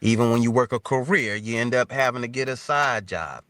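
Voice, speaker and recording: A man's voice: medium pitch, fairly quick, a bit bright, no room sound. A man in his fifties with an American accent, telling his story casually as an interview guest in informal, colloquial phrasing. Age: 50s.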